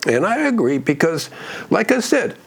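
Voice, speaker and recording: Gruff, gravelly man rambling about music. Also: a little high, sing-song, moderate pace. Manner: rambling, many restarts and fillers, enthusiastic. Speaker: music channel host. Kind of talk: casual music breakdown.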